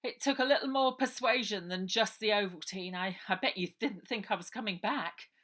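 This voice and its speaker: A woman's voice: mid-range voice, moderate pace, fairly bright tone, voice pushed up, close to an everyday voice. A woman voicing an earnest, hesitant, heroic boy in a children's storybook reading. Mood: relieved, sheepish.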